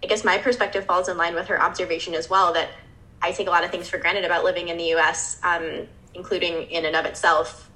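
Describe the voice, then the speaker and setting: A woman's voice: fairly low pitch, level tone, quick pace, pushed and bright, poor audio. A young woman from the United States co-hosting a discussion, upbeat and reflective, with fillers. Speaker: co-host discussing a designer interview. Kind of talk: casual conversational discussion.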